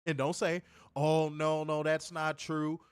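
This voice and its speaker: A man's voice: fairly high pitch, monotone, unhurried, clean tone. Stuffy-nosed man, blunt and profane. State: congested, stuffed up. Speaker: podcast co-host. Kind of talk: casual ranting wrestling review.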